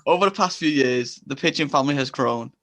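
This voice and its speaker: Man acting a wry, medium-pitched podcast interviewer. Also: a little fast, sharp tone, lightly acted. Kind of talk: comedy audio drama, mock interview.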